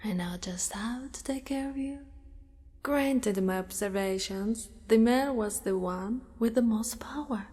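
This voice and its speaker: A woman voicing a possessive, doting female spider monster in an ASMR roleplay, with formal wording and a hushed delivery that often slips into whispers. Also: fairly high pitch, unhurried, close to an everyday voice. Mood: possessive, soothing. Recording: long reverberant echo.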